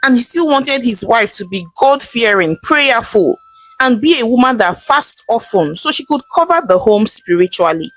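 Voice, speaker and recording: A woman's voice: a little high, animated, normal speed, fairly bright tone, no room sound, rough recording. A woman with a Nigerian accent narrating a folktale as a storyteller. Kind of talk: animated scripted folktale narration.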